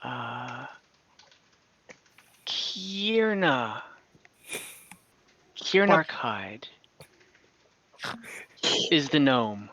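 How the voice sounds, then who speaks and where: A game master improvising tabletop game lore, speaking haltingly and searching for words. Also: mid-range voice, very animated, a bit bright, dull audio. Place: online group call.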